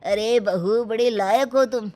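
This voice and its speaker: A woman's voice: a little high, a little slow, darkish tone, lightly acted. A woman voicing an opinionated, emphatic elderly uncle in a Hindi moral story. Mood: admiring.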